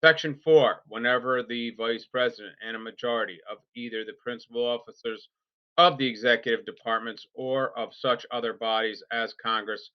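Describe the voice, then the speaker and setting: A man reading a formal legal document aloud, unrehearsed, in deliberate, halting phrases with emphatic starts, on a lo-fi recording. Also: medium pitch, fairly even intonation, clear, resonant tone. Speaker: reader. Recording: dull lo-fi recording.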